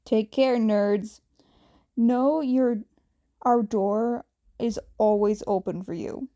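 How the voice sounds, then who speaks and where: A woman playing a blunt, brash teenage boy from a storybook, teasing and rough. His lines come out louder and punchier than the gentle narration around them, over a dark, mellow voice. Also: a little high, steady tone, slow pace.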